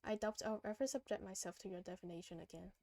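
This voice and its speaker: Solemn cosmic behemoth, voiced by a woman, lowered voice. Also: steady tone, fast talker, darkish tone, no room sound, natural voice. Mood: solemn, grave. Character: grave ancient cosmic behemoth, solemn and formal. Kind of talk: reading video game dialogue aloud.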